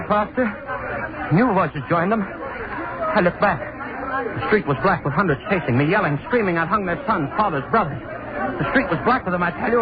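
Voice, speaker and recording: A man's voice: high-pitched, muffled recording. An actor playing a bloodthirsty, haunted hangman who tells his own tale in intense, melodramatic 1930s radio horror style. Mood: frantic terror. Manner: intense, melodramatic, repeating phrases obsessively. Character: guilt-maddened hangman, bloodthirsty and hunted, confessing his killings. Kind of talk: 1930s radio horror acting, first-person narration. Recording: scratchy, noisy 1930s radio broadcast.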